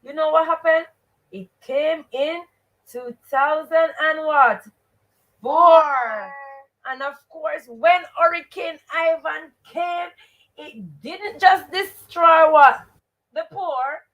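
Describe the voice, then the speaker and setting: A woman with a Jamaican accent and a high voice leads a live reading lesson. She speaks slowly and emphatically, prompting listeners with questions as a teacher would. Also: muffled recording. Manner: expressive, emphatic, repeats rhetorical questions and stock phrases. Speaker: teacher and host of a live read-aloud show.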